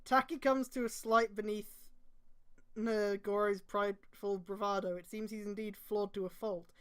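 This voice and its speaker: A woman's voice: medium pitch, fairly even intonation, measured pace, clean tone. A woman reading fan fiction aloud on a stream, breaking in with exasperated, casual, sweary commentary.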